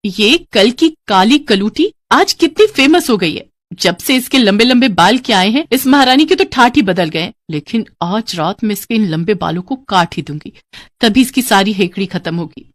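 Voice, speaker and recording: A woman's voice: mid-range voice, expressive, moderate pace, no echo, close to an everyday voice. A woman voicing a jealous young stepsister in a storybook tale, whiny, spiteful and melodramatic. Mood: jealous, scheming.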